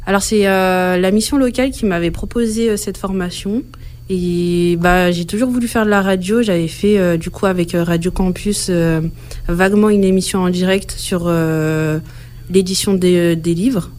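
A woman's voice: lowish voice, fairly even intonation, normal speed, darkish tone. A woman of twenty-three speaking as a radio trainee, hesitant and full of fillers. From France. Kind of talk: radio testimony.

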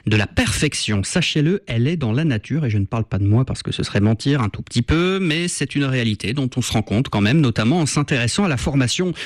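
A male radio host introducing segments in a relaxed way, with light jokes. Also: medium pitch, quick pace, hardly any pauses, no room sound, studio quality. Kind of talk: radio presenting links.

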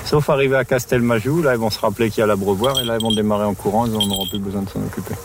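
A man's voice: fairly low pitch, racing, noisy background. A man in his sixties, a shepherd, talking casually and plainly while herding on a mountain pasture. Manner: relaxed, plain-spoken, teasing.

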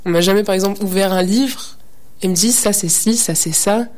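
A woman's voice: mid-range voice, a little fast, darkish tone. Seventeen-year-old girl, searching for words. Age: teens. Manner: hesitant, searching, many fillers. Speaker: high school student interviewee. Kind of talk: reflective testimony.

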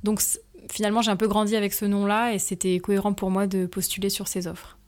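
A woman's voice: medium pitch, little pitch movement, fast, darkish tone. A woman in her twenties, from France, answering interview questions about her work with many hesitant fillers and careful explanations. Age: 20s. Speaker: interview guest, factory team manager.

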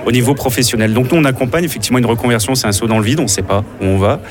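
Fast-talking male organization director. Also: mid-range voice. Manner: fluent, explanatory, assured. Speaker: director of a job-support organization. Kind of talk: explaining in a radio interview. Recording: music playing underneath.

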